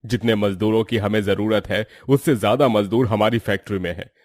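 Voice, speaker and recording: An actor playing a stern, unfeeling factory boss in a moral story, speaking in a firm, curt manner. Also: male voice, lowish voice, steady tone, a little fast, no room sound, studio quality, natural voice. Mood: firm, dismissive.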